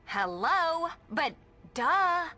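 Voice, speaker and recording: A woman's voice: very high voice, sing-song, very slow, sharp tone, faint music behind, heavily acted. A woman playing a snobbish, impatient young rich girl in a video game, with a snide, blunt delivery. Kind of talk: video game cutscene acting.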